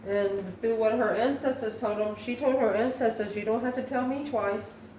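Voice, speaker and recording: A woman giving an improvised spiritual tarot reading to viewers, musing in a halting way and posing questions to herself. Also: on the high side, steady tone, darkish tone. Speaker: tarot card reader, channel host. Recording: echoey room, distant mic, faint noise.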